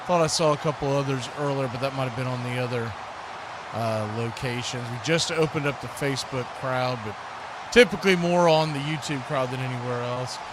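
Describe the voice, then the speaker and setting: A man's voice: medium pitch, fairly quick. A man hosting live sports commentary in a casual, folksy way, chatting to viewers as a play-by-play announcer. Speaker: play-by-play announcer and livestream host. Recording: clearly noisy live stream with background ambience.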